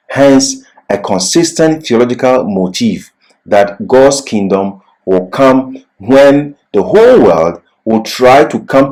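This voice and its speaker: A man delivers a Bible lecture at a slow, measured pace. His pitch rises and falls widely as he stresses key phrases. He sounds like a deliberate teacher working through prepared notes, pausing between points. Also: darkish tone, poor audio. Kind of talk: scripted Bible lecture with slides.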